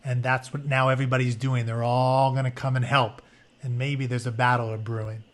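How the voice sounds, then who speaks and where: A man's voice: mid-range voice, little pitch movement, moderate pace. A man teaching through a read-aloud, mixing narration with informal, conversational explanations as he goes. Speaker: teacher reading a book aloud.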